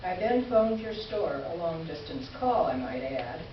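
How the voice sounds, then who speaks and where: A woman's voice: fairly low pitch, very animated, measured pace. A woman playing an indignant, exasperated writer reading her sarcastic complaint letter aloud in staged reader's theater. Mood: dry indignation. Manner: pointed, exasperated, dryly sarcastic. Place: indoor stage presentation before an audience. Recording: echoey hall from far off, dull, faint hiss.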